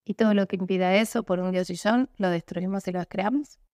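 A young woman reciting set clearing phrases in a steady, rhythmic voice-over. Her pitch sits mid-range for a woman, and the recording is close and dry. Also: a little fast, dry recording. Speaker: facilitator. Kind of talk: repetitive clearing statements.

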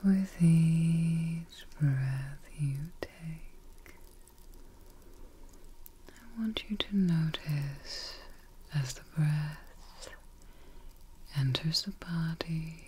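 Very slow, whispery woman guiding meditation. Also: low voice, noisy background. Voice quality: breathy, soft, mostly whispered. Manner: soothing, gentle, unhurried, pausing often between short phrases. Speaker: ASMR host guiding meditation. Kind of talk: soft-spoken, whispered ASMR guided meditation. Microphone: close ASMR microphone.

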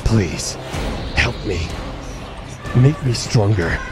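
A beaten but devoted servant, acted by a man, begs in a very low, solemn voice. Clear music plays behind his grim supplication in this audio drama. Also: animated, very slow. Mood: pleading, grim. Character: beaten, devoted male servant begging his council for power.

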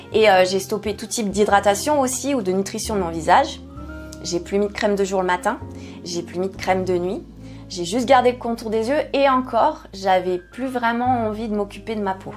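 A woman in her forties telling her own story in a candid home vlog, chatty and self-mocking, full of fillers and sighs. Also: mid-range voice. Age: 40s. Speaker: skincare YouTuber. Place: home kitchen set, indoors. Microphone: fixed camera, seated at medium distance.

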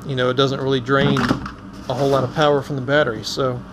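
Guy doing a casual gear walkthrough in his garage, deep-ish normal male voice, noisy clicky gopro audio. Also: level tone, fairly quick. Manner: informal, explaining item by item. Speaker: host of a fishing vlog. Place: indoors in a cluttered garage. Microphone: head-mounted action camera.

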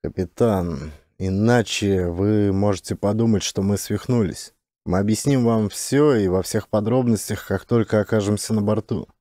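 A man's voice: lowish voice, moderate pace, dark tone, no room sound, clean studio sound, natural voice. A man playing a sober, decisive male major in a science fiction audiobook, firm and matter-of-fact. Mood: guarded.

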